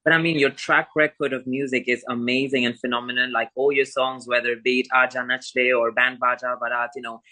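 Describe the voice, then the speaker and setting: Man, interviewer, grew up in Spain, bright, eager, chatty with fillers. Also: mid-range voice, level tone, fairly quick, few pauses. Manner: enthusiastic, many fillers. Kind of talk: casual interview.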